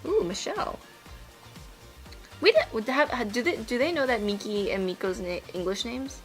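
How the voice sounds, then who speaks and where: A woman's voice: fairly high pitch, animated, moderate pace, soft background music. An American woman chatting casually while drawing on a livestream, playful and talkative.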